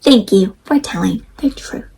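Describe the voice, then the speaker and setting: A woman voicing an understanding old grandmother from a children's storybook in a gentle, reassuring way. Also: mid-range voice, animated, unhurried, creaky, slightly rough, voice pushed down, exaggerated voice. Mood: gentle, forgiving.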